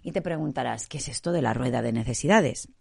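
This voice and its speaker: A woman's voice: rumbling low, fast, gravelly, breathy edge. A woman from Spain with a Spanish accent who has a cold and a cough, hosting a podcast in a chatty, meandering way. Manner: chatty and meandering, many fillers. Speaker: podcast host. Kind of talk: casual podcast talk.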